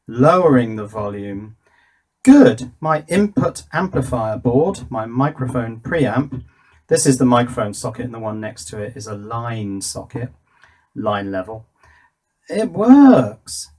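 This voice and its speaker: A man's voice: mid-range voice, measured pace. A man giving a casual hands-on electronics demonstration, thinking aloud in a chatty way and often putting little questions to himself. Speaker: host of an electronics workshop video.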